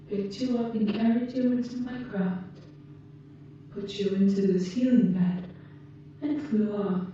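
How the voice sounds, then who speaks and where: Woman playing a dark, soft-toned, slow alien caretaker. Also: mid-range voice, lightly acted. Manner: slow, gentle, measured, formal wording. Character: calm, caring female alien from Venus tending a patient. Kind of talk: ASMR roleplay, scripted monologue to the listener. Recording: muffled, echoey, distant, faint noise.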